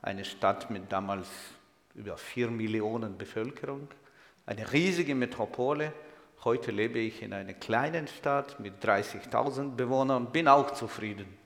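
A man's voice: mid-range voice, measured pace, fairly bright tone. A man, speaking German as a guest in church with humor and audience asides. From Ukraine. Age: 60s. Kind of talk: personal testimony in church. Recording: echoey hall.

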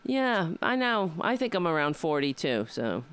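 A woman's voice: fairly low pitch, big pitch swings, normal speed, a bit bright, clean tone. Rambling wry woman in her fifties. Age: 50s. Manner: rambling, wry, swears freely. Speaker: comedian and show host. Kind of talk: casual monologue.

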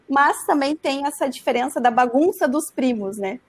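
Uma mulher jovem de Santa Catarina contando uma história de forma casual e animada, cheia de "né". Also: female voice, high-pitched, moderate pace. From Santa Catarina, Brazil. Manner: animated, frequent "né" tags. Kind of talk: casual storytelling.

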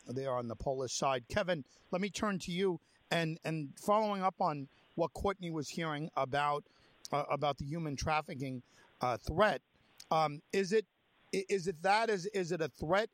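A male host talks in a loose, rambling way with plenty of fillers. His voice sits a bit high and sounds dry and close. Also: no echo. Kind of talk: casual interview. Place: near the Polish border.

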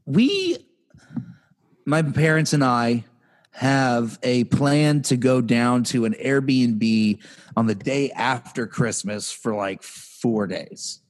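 A young man co-hosting a casual podcast, ranting in an exasperated, animated way with lots of restarts. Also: mid-range voice, slow pace. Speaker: podcast co-host. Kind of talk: casual chat.

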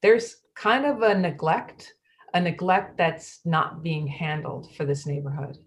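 A woman's voice: sits low, lively intonation, a little slow, a little hiss or hum. An actor playing a frustrated female neighborhood resident in a readers theatre monologue, plainspoken and aggrieved.

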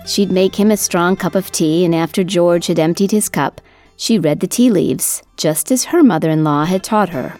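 A woman reading scripted storytelling narration for a folk history podcast in a measured, literate manner. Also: on the low side, darkish tone. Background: music under the voice.

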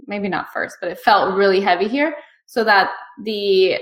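A woman's voice: medium pitch, moderate pace. A woman in her thirties hosting a podcast, asking questions in a chatty way and thinking aloud with fillers. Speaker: podcast host. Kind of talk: conversational interview.

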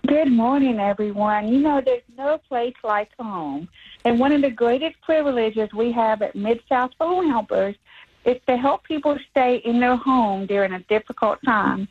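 Fairly high woman on a phone line. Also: moderate pace, slightly rough. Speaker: home care business owner. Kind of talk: prepared phone-in talk.

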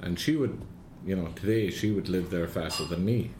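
Irish man, deep voice, hesitant interviewee, echoey room. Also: background noise. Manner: halting, many fillers and restarts. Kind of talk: reflective oral history interview.

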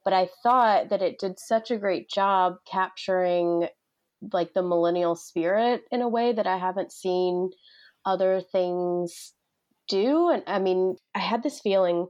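A woman's voice: mid-range voice, measured pace, a little mellow. A young woman hosting a casual book chat, gushing informally and peppering her talk with "like". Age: young adult. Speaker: podcast host.

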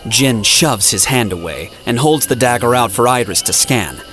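A man's voice: mid-range voice, animated, normal speed, a bit bright. A man reading scripted, dramatic story narration for an audio drama. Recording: music playing behind the voice.

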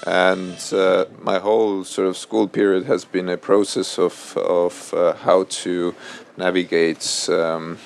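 A man in his thirties, a native Russian speaker, talks on a panel before an audience. His voice sits very low, with a gravelly fry, and he keeps pausing on fillers and restarting his sentences. Faint noise hangs under the recording. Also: flat delivery. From Estonia. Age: 30s. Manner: halting, many fillers and restarts. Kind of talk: panel discussion. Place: event hall before an audience.

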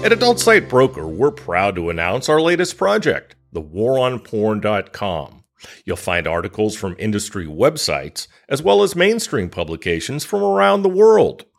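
Man, podcast host, low voice, highly animated intonation, scripted announcer reads and interview talk. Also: normal speed, gravelly, faint music behind.

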